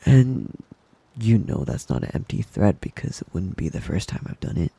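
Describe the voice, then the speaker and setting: A man playing a possessive, doting young wolf-boy lover in intimate ASMR roleplay, coaxing and teasing in a breathy, husky voice that often drops to a whisper. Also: low-pitched, very animated, warm tone, no echo, heavily acted. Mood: coaxing, firm. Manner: slow, coaxing, teasing endearments.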